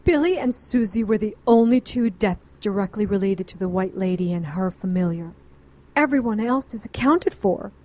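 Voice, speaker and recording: A woman's voice: medium pitch, animated, moderate pace, mellow, close to an everyday voice. Audio drama: woman playing grieving, headstrong occult doctor, medium register, earnest, defiant, urgent. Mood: defensive, anguished. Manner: earnest, indignant, intense.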